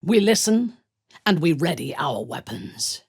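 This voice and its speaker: A woman voicing a ruthless, haughty exiled dark elf warrior in a video game, commanding and menacing, with formal diction. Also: on the low side, big pitch swings, a little slow, slightly rough, no echo, studio quality, exaggerated voice. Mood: grim, menacing. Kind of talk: video game character voice acting.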